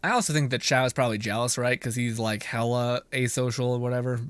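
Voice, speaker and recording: A man's voice: mid-range voice, fairly even intonation, quick pace. A man streaming a video game, rambling playfully, swearing and laughing often as he comments. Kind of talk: casual livestream commentary.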